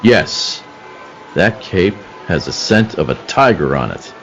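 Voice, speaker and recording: A man playing a brisk, commanding police detective hero in an old-time radio play. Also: low-pitched, very animated, fairly bright tone, muffled recording, soft background music, exaggerated voice. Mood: brisk, investigating.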